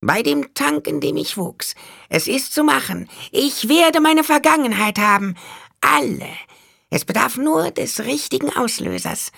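A man voicing a scheming male dwarf in an audiobook, with a squeaky, gravelly falsetto and a sly, wheedling manner. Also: lively intonation, unhurried, sharp tone, no echo, clean studio sound, full character voice. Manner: wheedling, unruffled.

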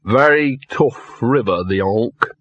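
A man voicing a cynical, maudlin little talking dog, hoarse and drunkenly slurred, in a comic fantasy audiobook. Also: mid-range voice, expressive, slow, fairly bright tone, dry recording, lo-fi sound, full character voice. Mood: grumbling resignation. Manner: slurred, rambling, grumbling.

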